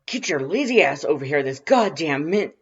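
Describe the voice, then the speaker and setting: A reader voicing a loud, domineering skeleton younger brother, gravelly and irritated, barking curses in an audiobook-style story. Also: medium pitch, big pitch swings, moderate pace, pitched above the performer's own voice. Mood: irritated, yelling. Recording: dull lo-fi recording.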